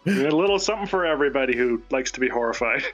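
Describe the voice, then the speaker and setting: A man's voice: mid-range voice, expressive, rapid-fire, fairly bright tone, faint music behind. A man guesting on a stream, doing dramatic script reading and casual chat. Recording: dull lo-fi stream audio.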